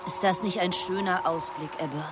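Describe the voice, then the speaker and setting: Actress as composed, guarded doctor over music. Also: female voice, on the low side, fairly even intonation, lightly acted. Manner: measured, evasive. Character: composed, guarded older half-sister, a female doctor. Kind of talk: radio crime drama dialogue.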